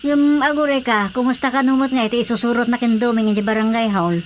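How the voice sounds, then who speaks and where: An actress playing a sympathetic, chatty village friend in a Filipino radio drama comedy, with an Ilocano accent. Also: female voice, a little high, steady tone, fast, slight background noise, natural voice.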